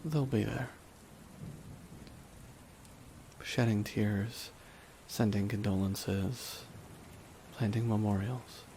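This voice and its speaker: A man playing a bitter, weary supervillain in a dramatic ASMR audio roleplay, speaking deliberately with long pauses to a dying nemesis. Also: fairly low pitch. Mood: solemn, resigned. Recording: steady rain noise behind the voice. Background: rain falling on surfaces.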